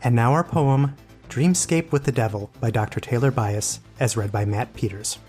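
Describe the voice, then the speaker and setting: A man's voice: mid-range voice, normal speed. A man reading a scripted introduction as the presenter of a literary podcast. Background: music.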